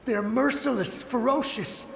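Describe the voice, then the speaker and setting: A man's voice: very high-pitched, unhurried, creaky, echoey room, slight background noise, exaggerated voice. A man playing the desperate, loyal ghost of a soldier in a video game, intense and strained. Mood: urgent, frightened. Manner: urgent, pleading, strained.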